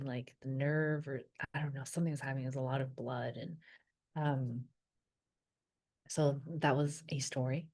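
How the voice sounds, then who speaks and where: A woman with a low, mellow voice speaks slowly and softly. She tells personal memories in a reflective, hesitant way, often pausing to search her thoughts. Her first language was Vietnamese. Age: adult. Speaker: wellness creator.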